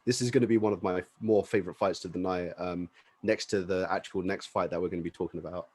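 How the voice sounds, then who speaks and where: A man's voice: deep voice, steady tone, fast talker, a little mellow, husky, no echo. A man co-hosting a podcast, giving casual fight analysis as if thinking aloud. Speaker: podcast co-host.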